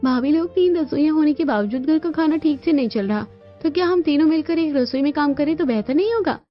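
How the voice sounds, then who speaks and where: Woman acting high-voiced, earnest young Shipra, a daughter-in-law. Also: fairly quick, lightly acted. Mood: persuasive. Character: Shipra, thoughtful, caring young daughter-in-law planning family harmony. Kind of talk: Hindi cartoon story acting. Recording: muffled lo-fi sound with music bed.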